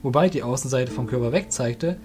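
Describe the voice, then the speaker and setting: A man's voice: mid-range voice, a little fast. A man narrating a fantasy audio drama in a scripted storytelling style.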